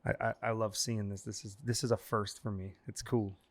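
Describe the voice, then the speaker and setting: A man hosting a podcast in a casual, loose way. He speaks in an easygoing conversational tone and asks his questions in a roundabout, relaxed manner. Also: on the low side, a little fast. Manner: laid-back, loose and swearing. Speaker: podcast host. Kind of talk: casual interview chat.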